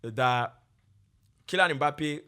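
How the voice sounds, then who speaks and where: Emphatic man, fairly high voice. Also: drawn-out words, sharp tone. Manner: emphatic, repeats points for stress. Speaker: podcast co-host. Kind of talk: casual chat.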